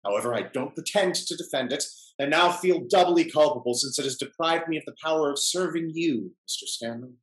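An actor playing a falsely courteous, pompous English gentleman in a period stage comedy, speaking formally and unctuously. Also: fairly high pitch, a little fast, hardly any pauses, close to an everyday voice, poor audio. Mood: unctuous, regretful. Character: smooth hypocritical English gentleman, falsely courteous, secretly grasping. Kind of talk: theatrical period comedy acting. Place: at home on a video call.

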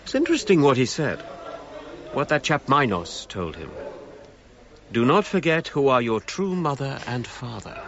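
Formal, aloof British archaeologist. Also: male voice, mid-range voice, very animated, moderate pace, fairly bright tone, dull audio. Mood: intrigued, dry. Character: reserved, authoritative British archaeologist, stern about discipline. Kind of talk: radio drama acting.

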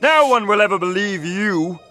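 A man's voice: sits high, animated, moderate pace, sharp tone. A man voicing a pompous, aggressive hunter in a cartoon game, theatrical and blustering, with an upper-class English accent. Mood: menacing, blustering. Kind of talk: animated comedy game cutscene acting. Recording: music behind the voice.

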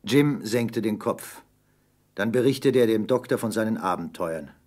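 A man's voice: on the low side, expressive, normal speed, darkish tone. A man narrating an adventure audio play as a scripted, dramatic storyteller.

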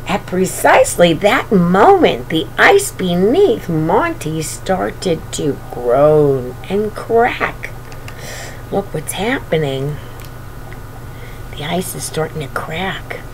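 An older woman reading a storybook aloud and chatting affectionately to young children. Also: lowish voice. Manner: affectionate, talking to small children. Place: small bedroom indoors. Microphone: webcam at arm's length. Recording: noisy home webcam recording.

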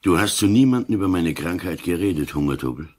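Old inspector for radio crime play: extremely low, husky voice, stoic, wry, terse delivery. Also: male voice, animated, fast talker, darkish tone. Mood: dry, probing. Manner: dry, terse, probing. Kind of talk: German-language radio drama acting.

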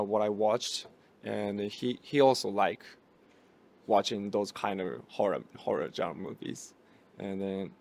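A young man with Japanese as his first language, a guest in a festival interview, speaking halting English and searching for words. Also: on the low side, gravelly. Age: young adult. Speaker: actor guest. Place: small room at a film festival. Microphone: handheld mic held by interviewer.

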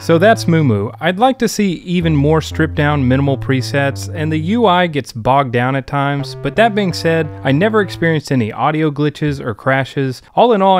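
A man narrating a casual review as the host, in an informal, conversational way. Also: on the high side. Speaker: host of a synth app review show.

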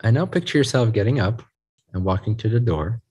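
A man leading a guided group meditation, speaking in a soothing, unhurried way in short phrases with pauses. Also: fairly low pitch, expressive, darkish tone, slightly rough.

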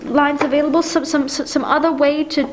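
A woman's voice: sits high, fairly even intonation, a little fast, natural voice. A young woman acting an imperious, nervous wife in a 1940s-style radio melodrama, stammering and breathless with panicky indignation. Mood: desperate. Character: frantic, imperious, nervous invalid wife on the telephone. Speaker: amateur actor in a stage adaptation of a radio play. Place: dark stage, indoors. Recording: clearly noisy amateur stage recording.

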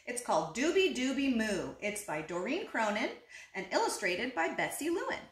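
A woman in her thirties reading a children's picture book aloud expressively, deliberately phrased, now and then putting questions to young listeners. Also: fairly high pitch, big pitch swings. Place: living room indoors, seated on a couch. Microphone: camera a short distance away. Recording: roomy indoor echo.

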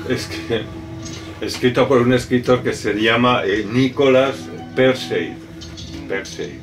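A middle-aged man whose first language is French, answering interview questions in a halting way, with many restarts and fillers. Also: medium pitch, over music. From raised in France. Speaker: artist. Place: cluttered studio with computer and speakers. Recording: distant mic, audible room noise.

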